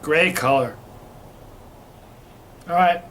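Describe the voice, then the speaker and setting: A rambling, chatty man from Vermont talks through a hot sauce review, restarting his sentences often. His voice sits a little higher than most men's. The recording carries a clear hiss of background noise. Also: drawn-out words, a bit bright. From Vermont, USA. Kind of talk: casual review vlog.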